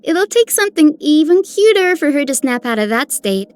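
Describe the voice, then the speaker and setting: Cute, very high girlish game character. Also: very animated, moderate pace. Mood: gentle, amused. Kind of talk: scripted character voicelines.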